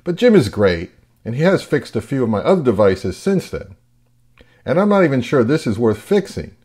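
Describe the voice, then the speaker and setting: A man's voice: medium pitch, very animated, moderate pace, a little mellow, slightly rough. A man hosting an audio gear vlog, talking in a casual, informal way. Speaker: host of an audio repair vlog. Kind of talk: casual chat and informal video narration.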